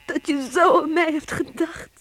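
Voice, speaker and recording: Tender, high-voiced village woman. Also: steady tone, a little slow, a bit bright, dry recording. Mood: overjoyed. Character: tender, anxious village woman awaiting her seafarer. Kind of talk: Dutch radio play acting. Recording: noisy old radio play recording.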